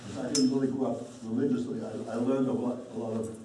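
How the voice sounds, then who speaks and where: A man's voice: medium pitch, fairly even intonation, fast. A man talking in a group discussion, hesitant, with frequent restarts. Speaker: panel speaker, scientist. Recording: very echoey room, distant mic, noise.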